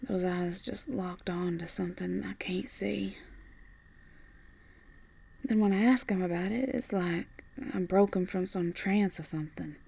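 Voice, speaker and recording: Casting: actress for a gentle, anxious Southern farm woman, husky near-whisper, slow halting drawl, intimate roleplay audio. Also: lowish voice, exaggerated voice. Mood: wistful, worried. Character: young Southern belle farmer, tender, worried sweetheart. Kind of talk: ASMR audio roleplay acting.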